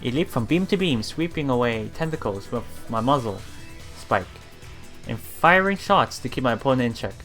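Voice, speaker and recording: Streamer reading a visual novel out loud, kinda stumbly, chatty asides, soft game music behind. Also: medium pitch, lively intonation. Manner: halting reading, often repeating broken words. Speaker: let's player streamer. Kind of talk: reading game text aloud with casual commentary.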